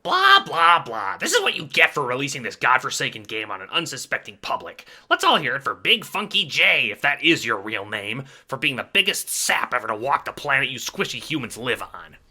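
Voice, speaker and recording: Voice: mid-range voice, wildly swooping pitch, a little fast, hardly any pauses, pushed and bright, slight background noise, heavily acted. An actor playing a scornful, sarcastic troll who sneers insults in an audio drama. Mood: mocking scorn. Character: scornful, insulting non-human forum troll, grudging and sarcastic.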